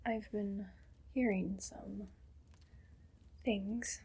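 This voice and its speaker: A woman playing a haughty, condescending evil queen in an ASMR roleplay, speaking in a breathy, deliberate whisper. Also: medium pitch, fairly even intonation, dark tone, full character voice. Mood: cool, suspicious. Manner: slow, deliberate, imperious.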